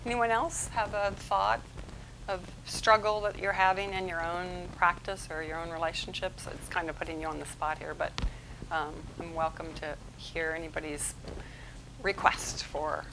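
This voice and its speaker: A woman speaker at a conference, talking into a mic in a meeting room with faint background noise. She is lively and chatty, and she often breaks off and restarts her sentences. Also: fairly low pitch, moderate pace, gravelly. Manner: energetic, chatty, self-interrupting. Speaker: guest speaker, psychologist. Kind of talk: conference presentation. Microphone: handheld mic in a room.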